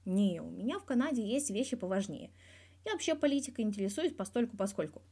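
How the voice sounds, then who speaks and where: A woman's voice: mid-range voice, sing-song, fast talker, dark tone, slightly rough, pitched above the performer's own voice. A woman voicing a candid, wry young male star athlete giving a guarded but blunt interview in a story. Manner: guarded yet blunt, dryly teasing, swears freely.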